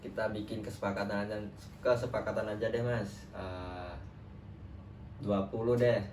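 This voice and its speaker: A young man plays a trainee counselor in a role-play session, talking in a casual, friendly way full of fillers. His voice sits a little low. The room is roomy, the mic is distant, and a clear background noise runs underneath. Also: steady tone, moderate pace, a little mellow. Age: young adult.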